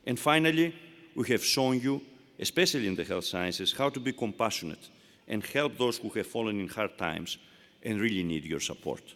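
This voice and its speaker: A man's voice: lowish voice, expressive, normal speed, a bit bright. A man with a Greek accent giving a formal commencement address from a podium, building to emphatic, repeated rhetorical phrases. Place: large indoor ceremony hall. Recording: long reverb of a large hall.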